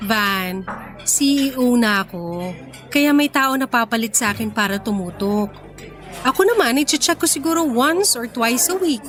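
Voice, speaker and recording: A woman playing a young businesswoman in love, playful yet cautious, in expressive, teasing Filipino radio romance acting. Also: on the high side, measured pace. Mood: teasing, reassuring. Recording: background music under the voice.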